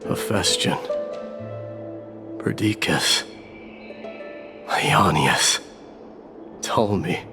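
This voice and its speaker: A man playing a solemn exiled warrior prince in a video game, telling of fallen comrades in a measured, grave way. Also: low voice. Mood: nostalgic, fond. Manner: measured, grave, reflective storytelling. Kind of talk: dramatic video game dialogue. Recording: background music under the voice.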